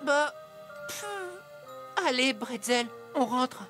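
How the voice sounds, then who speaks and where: A woman playing a good-hearted, hesitant little boy in a children's cartoon, expressive and exclamatory. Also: high-pitched, slow pace, loud music behind the voice. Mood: downcast, resigned.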